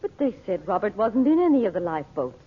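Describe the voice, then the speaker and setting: A woman's voice: medium pitch, big pitch swings, fast talker, slightly rough. An actress in an old radio drama playing a worried, earnest mother. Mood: troubled. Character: Dot, worried, earnest mother in an adventure serial. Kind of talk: 1940s radio drama acting. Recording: muffled, phone-like old radio broadcast recording.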